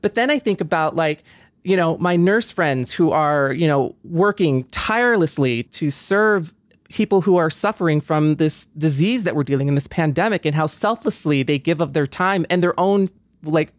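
A man's voice: on the high side, expressive, normal speed, dry recording, studio quality. A man hosting a podcast, speaking in a reflective conversational way with an earnest, optimistic manner and frequent you-knows. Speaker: podcast host.

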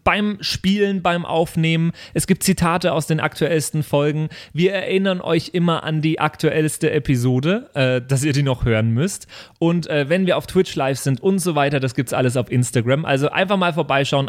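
A man with a slightly high voice talks as a podcast co-host. His delivery is informal and conversational, like someone among friends. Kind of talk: casual chat and outro plug.

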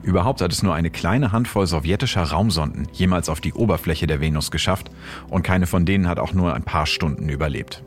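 A man's voice: sits low, fairly quick, faint music behind. A man hosting a science podcast, giving scripted explanatory narration. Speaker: host and science presenter.

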